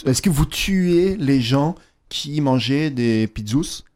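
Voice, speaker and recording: A man's voice: mid-range voice, animated, slow, a little mellow. A male radio host leading a playful comedy interview with a mock-earnest manner.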